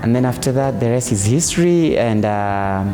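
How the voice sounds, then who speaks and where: A man's voice: mid-range voice, expressive, moderate pace, mellow. A man, a video director and entrepreneur, recounting his life step by step in a plain way. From Kenya. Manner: straightforward, step-by-step storytelling. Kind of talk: interview testimonial. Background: background music.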